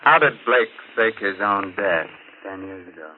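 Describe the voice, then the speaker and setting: Through a hissy, narrow vintage radio recording comes an actor as a man caught up in a murder mystery. His fairly low voice tells the story with grave, dramatic suspense. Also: animated, normal speed, close to an everyday voice. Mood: puzzled. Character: shaken male narrator of a murder mystery. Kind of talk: dramatic old radio narration.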